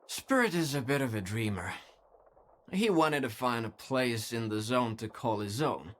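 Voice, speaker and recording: A man's voice: medium pitch, animated, measured pace, fairly bright tone. An actor playing a weary, remorseful man, speaking earnestly and wistfully in dramatic video game dialogue. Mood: reflective, grateful. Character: weary, remorseful man devoted to a mysterious zone.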